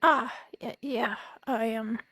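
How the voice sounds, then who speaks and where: A woman with a high, light voice who stammers and restarts often in halting bursts. She sounds dry and close, coming through voice chat. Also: fairly even intonation, sharp tone, dry recording. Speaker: fellow roleplay player. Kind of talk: in-character roleplay chat.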